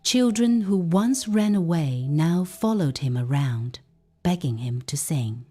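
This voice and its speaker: A woman tells a folktale in a dramatic, emphatic way. Her voice is mellow and swings widely in pitch, and she takes her time over each phrase. Soft music plays behind her. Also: breathy edge. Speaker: storyteller.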